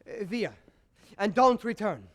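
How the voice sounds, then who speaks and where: A man's voice: high voice, unhurried, a bit bright. A man playing a bitter, scheming court composer who tells his tale in grand, confiding stage declamation. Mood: cold, agitated. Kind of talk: theatrical stage monologue.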